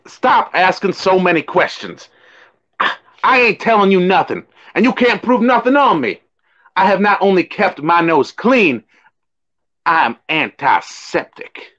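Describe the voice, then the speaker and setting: A man's voice: high voice, sing-song, a little slow, fairly bright tone, full character voice. An actor playing a jumpy, germ-phobic small-time crook in an old-time radio comedy, whining and protesting in tough-guy slang. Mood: defensive. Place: small room indoors. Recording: muffled home webcam audio.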